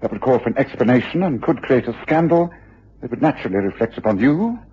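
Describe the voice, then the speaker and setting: An actor playing a shrewd, self-assured gentleman detective with an English accent and a husky voice, questioning incisively in a period radio drama. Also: mid-range voice, expressive, quick pace. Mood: shrewd, decisive. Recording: dull, lo-fi old radio recording.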